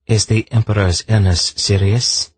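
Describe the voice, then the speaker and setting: A man's voice: on the low side, steady tone, a little slow, husky, dry recording, voice pushed up. A man narrating an audiobook voices an earnest, deferential young woman healer who speaks in an anxious, pleading way. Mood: concerned.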